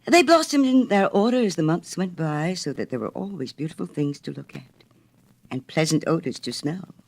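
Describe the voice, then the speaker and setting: A male storyteller reads a children's tale with great expression. His voice is a little high for a man and rises and falls dramatically from phrase to phrase.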